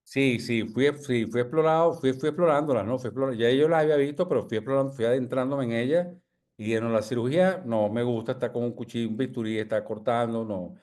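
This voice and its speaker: A man in his sixties with a Venezuelan accent, a physician answering interview questions in a measured, humble way, with many pauses and tag questions. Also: mid-range voice, darkish tone. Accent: Venezuelan Spanish. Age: 60s. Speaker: physician guest.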